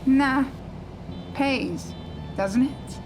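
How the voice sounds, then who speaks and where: Woman playing a high-voiced, blunt office pal. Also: very slow, music in the background. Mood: easygoing, wry. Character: blunt, teasing female call-centre worker, easygoing colleague. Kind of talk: naturalistic audio drama acting.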